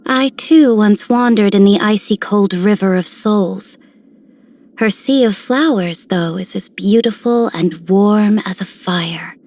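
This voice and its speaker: A woman's voice: medium pitch, slow, a little mellow, natural voice. A woman voicing a regal, dignified noblewoman commander in a video game, stately and formal. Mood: solemn, tender. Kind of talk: video game character voice lines.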